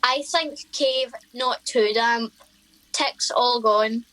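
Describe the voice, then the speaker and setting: A woman's voice: a little high, very slow, sharp tone, in a large hall, full character voice, low-quality recording. A woman playing a simple, hopeful cave dweller who talks in clipped broken sentences in a radio comedy. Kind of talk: radio comedy play acting.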